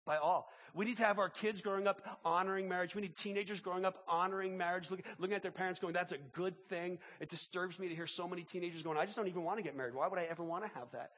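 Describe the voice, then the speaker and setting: Fast-talking sick pastor, voice deeper than usual. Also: male voice, fairly even intonation, fairly bright tone, big-room sound. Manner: repetitive restarts, emphatic pleading. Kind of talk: sermon with opening prayer and announcements. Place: large church auditorium.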